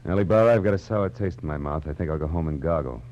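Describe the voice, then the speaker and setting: Weary American noir detective, clipped and wry, deep voice, muffled vintage radio sound. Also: male voice, quick pace, slight background noise. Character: world-weary, hard-boiled male private detective. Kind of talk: 1940s radio noir acting and narration. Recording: dull 1940s radio recording with music.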